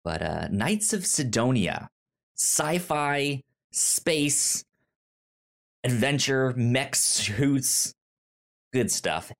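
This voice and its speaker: A man's voice: medium pitch, lively intonation, drawn-out words, gravelly, long pauses. A man hosting a casual podcast chat about manga, often stammering and stumbling over his words. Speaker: podcast host.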